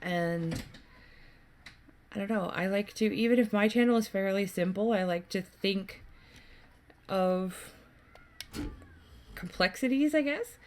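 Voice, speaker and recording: A woman's voice: medium pitch, slow, long pauses, a little mellow, slight background noise. A woman doing casual gameplay commentary as a YouTuber, rambling along in a chatty, enthusiastic way. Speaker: gaming YouTuber. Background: game music.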